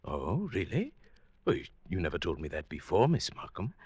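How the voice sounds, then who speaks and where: Low-voiced, mild henpecked professor. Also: male voice, wildly swooping pitch, darkish tone, breathy edge, no echo, full character voice. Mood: polite, reserved. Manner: polite, hesitant, measured. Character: mild-mannered older botany professor, henpecked, quietly scheming husband. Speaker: lead actor and narrator. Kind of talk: 1940s radio drama acting.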